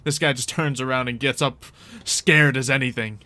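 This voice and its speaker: A man's voice: mid-range voice, fairly even intonation, moderate pace, bright, forceful tone. A man acting as game master, narrating a tabletop roleplay session in a casual, jokey, informal way.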